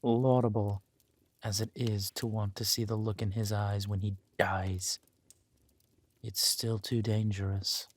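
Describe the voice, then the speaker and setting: A man playing a menacing, possessive villain warlord and protective lover in a scripted roleplay audio drama, speaking deliberately and commandingly, dropping into breathy murmurs. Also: lowish voice, little pitch movement, no echo. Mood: earnest, protective.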